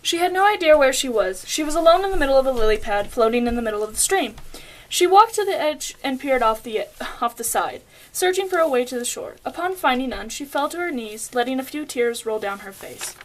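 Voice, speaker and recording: A woman's voice: high-pitched, animated, brisk, rough recording. A young woman reading scripted storybook narration, occasionally stumbling over her words. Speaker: puppet show narrator.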